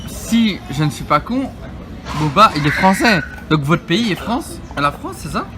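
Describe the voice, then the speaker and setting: A man's voice: sits high, big pitch swings, noisy background. A male vlogger hosting a joking mock interview, teasing and playful, in a busy public place. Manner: playful, teasing, quick to laugh.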